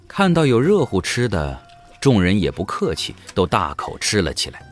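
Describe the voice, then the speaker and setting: A man narrating an audio drama in a dramatic, scripted storytelling style. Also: on the low side.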